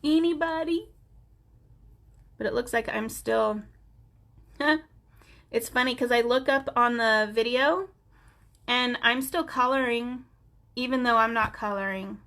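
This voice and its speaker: Stuffy-nosed lady teaching coloring live, chatty, kinda higher voice, greets viewers. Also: takes it slowly, pauses often, fairly bright tone. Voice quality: nasal. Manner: chatty, talks to viewers by name while coloring. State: stuffy nose, sounds nasal. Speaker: craft instructor on a live stream. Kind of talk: casual live coloring tutorial.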